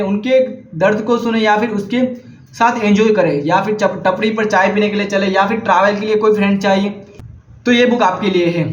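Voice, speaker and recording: Young man, Gujarati accent, native Gujarati speaker, high voice, hesitant and earnest, echoey, slightly dull recording. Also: slight background noise. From Gujarat, India. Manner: hesitant, frequent restarts, earnest. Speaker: vlogger. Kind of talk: casual book recommendations.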